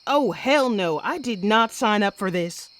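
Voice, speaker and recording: An actress playing a sharp, defiant Old West woman sheriff with folksy speech, in a western audio drama. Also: mid-range voice, animated, measured pace. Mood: indignant. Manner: folksy frontier talk, assertive. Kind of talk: western comic-book audio drama acting.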